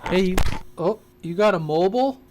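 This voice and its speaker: A man's voice: high voice, expressive, normal speed, background noise. A man chatting casually on the radio as a CB operator, in an easygoing, informal way. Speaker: CB radio operator, live stream host.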